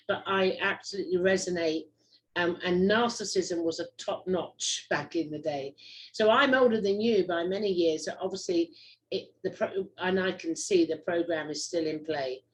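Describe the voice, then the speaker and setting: A woman's voice: on the low side, slight background noise, rough recording. A middle-aged woman from the UK hosting a casual interview, effusive and rambling, with many fillers. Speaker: host.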